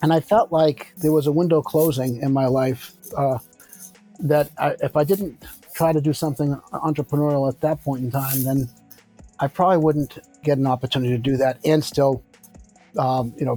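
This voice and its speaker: A man in his sixties speaks at a mid pitch, answering in a measured, reflective way and often pausing to restart his thoughts. Faint music plays underneath his voice. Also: fairly even intonation, dark tone, low-quality recording. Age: 60s. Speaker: construction company founder. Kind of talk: conversational interview answers.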